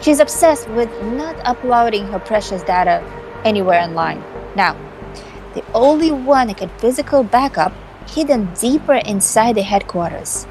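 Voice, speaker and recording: A woman playing a scheming, cocky female vampire hacker in an amateur visual novel performance, theatrical and condescending with dramatic pauses. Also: a little high, expressive. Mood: smug. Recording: music behind the voice.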